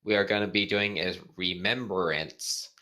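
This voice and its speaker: A man co-hosting a casual amateur read-aloud of fan fiction, halting and often stumbling over his words. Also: deep voice, fairly even intonation, bright, forceful tone.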